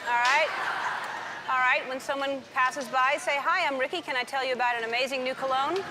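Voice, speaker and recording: A woman's voice: sits high, a little fast, noisy background. A woman host giving a disguised guest playful, bossy comic cues in a prank clip. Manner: playful, giggly, bossy prompting. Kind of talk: comic prank, coaching through an earpiece.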